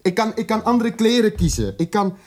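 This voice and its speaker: An actor playing an educated, indignant South African radical in a radio drama, articulate and emphatic, repeating words for weight. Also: male voice, sits high, big pitch swings, brisk. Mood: solemn, impassioned.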